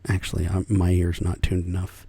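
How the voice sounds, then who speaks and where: Soft-spoken, gravelly, breathy American man. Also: low voice, completely flat delivery, moderate pace, no room sound. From United States. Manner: soft-spoken, often dropping to a whisper; meandering, self-correcting, chuckles softly. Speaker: ASMR creator. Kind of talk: soft-spoken ASMR rambling chat.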